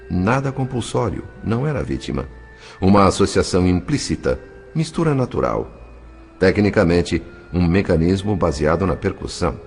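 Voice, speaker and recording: A man giving a scripted, dramatic literary narration as an audiobook reader. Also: sits low.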